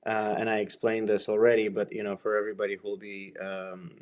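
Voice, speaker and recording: Low-voiced man hosting, rambling thoughtfully. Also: completely flat delivery, fairly quick. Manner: rambling, thoughtful, many fillers. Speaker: podcast host. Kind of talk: casual interview intro.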